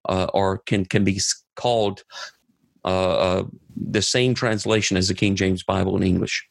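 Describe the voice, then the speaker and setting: A man co-hosts a podcast and talks earnestly in a preaching manner, with many "uh" fillers. His voice is low and gravelly, and the sound is dry and close. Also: fairly even intonation, normal speed, no echo. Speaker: podcast co-host, missionary. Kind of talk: casual podcast conversation.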